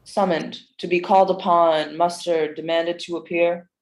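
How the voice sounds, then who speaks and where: A woman's voice: fairly low pitch, fairly even intonation, moderate pace, lightly acted, low-quality recording. Woman acting a cold, imperious female doctor. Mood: haughty. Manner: clipped, formal, haughty. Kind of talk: staged play reading.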